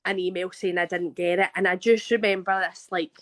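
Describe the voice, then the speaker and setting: A woman's voice: fairly low pitch, fairly even intonation, fairly quick. A Glaswegian woman in her thirties, an actress telling a story in a casual interview, chatty with frequent restarts. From Scotland. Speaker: guest actress.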